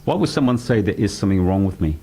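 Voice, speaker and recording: A man with a very deep, warm voice hosting a radio talk show. He talks quickly and probes his guest, questioning and challenging her ideas. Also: lively intonation, slight background noise. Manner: quick, probing, pushes back with questions. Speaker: radio host. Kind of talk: interviewing on radio.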